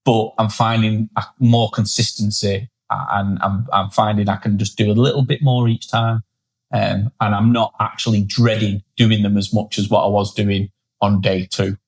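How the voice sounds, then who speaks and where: A man's voice: fairly low pitch, flat delivery, moderate pace, husky. A tired man co-hosting a casual chat show, blunt and self-deprecating, swearing freely. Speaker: podcast co-host. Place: indoor studio setup, seated.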